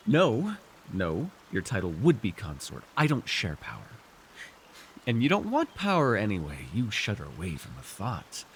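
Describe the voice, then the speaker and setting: A man's voice: on the low side, wildly swooping pitch, unhurried, slight background noise, full character voice. A man playing an arrogant, seductive fantasy warlord in an intimate roleplay, teasing and theatrical as he speaks to one listener. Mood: flustered, exasperated. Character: arrogant, seductive male fantasy villain warlord, dream-wielding conqueror. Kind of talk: immersive ASMR fantasy roleplay acting.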